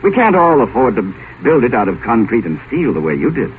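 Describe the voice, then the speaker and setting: An actor playing a cynical, world-weary professor who needles quietly, musing and sardonic, in mid-century radio drama style. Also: male voice, mid-range voice, sing-song, fairly quick, natural voice. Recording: noisy, tinny 1950s radio broadcast.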